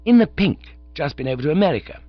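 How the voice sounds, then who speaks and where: A man voicing a cheerful young upper-class gentleman who chatters in breezy, chirpy slang. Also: on the high side, animated, quick pace, no echo, soft background music, pitched above the performer's own voice. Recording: dull lo-fi dry recording.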